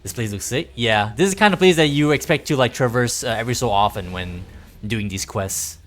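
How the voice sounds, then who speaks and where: A man's voice: mid-range voice, fairly quick, fairly bright tone. A man giving casual, rambling livestream game commentary, chatting informally with some swearing. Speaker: game streamer. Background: game music.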